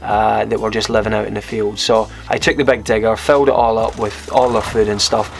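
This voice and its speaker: A man's voice: lowish voice, flat delivery, fairly quick, gravelly, slight background noise. A young Scottish man vlogging, telling a personal story to camera in a candid, rambling way. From Scotland. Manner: rambling, candid, many fillers and restarts.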